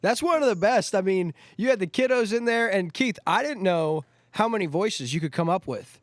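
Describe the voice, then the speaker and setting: A man's voice: sits high, lively intonation, normal speed, dry recording, studio quality. A man speaking as a show host in casual, chatty conversation. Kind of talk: casual chat.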